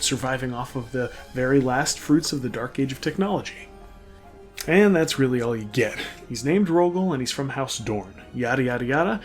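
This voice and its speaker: A man delivering comedic scripted narration in a sardonic, mock-grand manner, tossing in irreverent asides. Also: medium pitch. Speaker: narrator of a comedic fan lore video. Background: background music.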